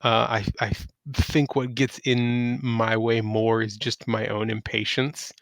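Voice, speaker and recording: A man hosting a podcast, chatting casually about games in a halting, conversational storytelling way. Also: mid-range voice, little pitch movement, no room sound. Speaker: podcast host. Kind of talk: casual gaming chat.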